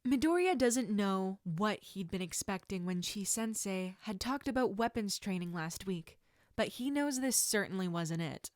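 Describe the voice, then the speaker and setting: A woman reading scripted fiction aloud as an expressive narrator. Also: mid-range voice, moderate pace, no room sound, studio quality.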